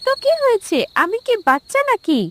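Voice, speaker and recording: A headstrong young daughter in a cartoon drama, played by a woman. Her very high voice swings freely, earnest and emphatic, in a dry recording. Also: sing-song, fairly quick, fairly bright tone, dry recording, heavily acted. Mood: petulant. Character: young daughter, headstrong but devoted to parents. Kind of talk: animated moral-drama dubbing.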